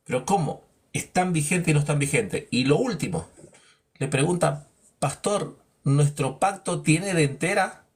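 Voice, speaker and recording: A man teaching a Bible lesson in a preaching style, asking rhetorical questions and acting out imagined dialogues. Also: slightly rough. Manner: emphatic, drops low on verdicts.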